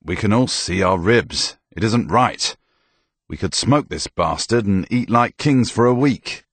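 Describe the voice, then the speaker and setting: A man doing a gruff, sardonic old soldier. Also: fairly low pitch, animated, a little slow, a bit bright, dry recording, voice pushed up. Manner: gruff, blunt. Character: blunt hungry former soldier, gruff and sardonic.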